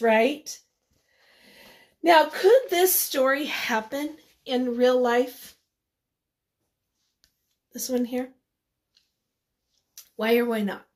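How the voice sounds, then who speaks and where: A woman with a high voice speaks as an elementary teacher to young children. Her delivery is slow and instructive, with simple questions, pauses and encouraging replies. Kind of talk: read-aloud lesson for young children, asking questions and answering them.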